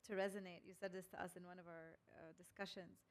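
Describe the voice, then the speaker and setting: A woman's voice: lowish voice, flat delivery, fast. A woman hosting a fireside chat, interviewing conversationally and reflectively, paraphrasing as she works toward her questions. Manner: reflective, paraphrases before asking.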